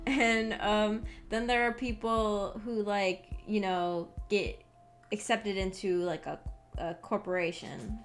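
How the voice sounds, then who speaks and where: A woman's voice: mid-range voice, fairly even intonation, takes it slowly. A woman streamer talking casually to her viewers in a rambling, hesitant way, thinking aloud as she goes. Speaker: VTuber streamer chatting with viewers. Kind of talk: casual livestream chat. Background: background music.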